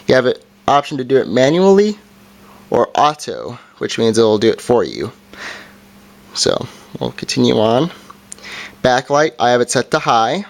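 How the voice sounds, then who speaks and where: Male reviewer, mid-pitched voice, informal and halting, walking through a gadget's features. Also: vocal fry. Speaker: product reviewer. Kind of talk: casual hands-on review.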